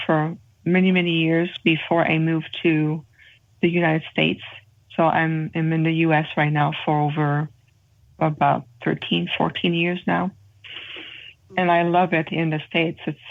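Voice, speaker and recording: A woman's voice: low-pitched, level tone. French Canadian woman on a tinny phone line. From Canada (Montreal). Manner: earnest, measured, with frequent pauses and fillers. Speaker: guest. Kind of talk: interview guest describing spiritual experiences. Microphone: phone call.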